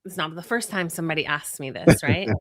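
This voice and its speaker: A woman's voice: deep voice, quick pace, a bit bright. A woman from Venezuela whose first language is Spanish, a brand strategist explaining her ideas in a podcast interview with examples and rhetorical questions.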